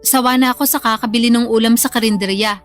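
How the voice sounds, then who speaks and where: A woman's voice: a little high, steady tone, quick pace, faint music behind, close to an everyday voice. An actress playing a cold, blunt, demanding estranged mother, curt and dismissive in a radio drama style.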